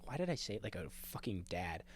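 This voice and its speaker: A man's voice: lowish voice, sing-song, a little fast. A young man co-hosting a podcast in casual chat, joking and swearing loosely. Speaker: podcast co-host.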